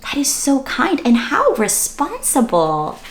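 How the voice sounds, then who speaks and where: A woman reads a picture story aloud for children as host. Her voice is fairly high and swoops expressively, with unhurried pacing, exclaimed asides and questions to the listener. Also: wildly swooping pitch, slight background noise, low-quality recording.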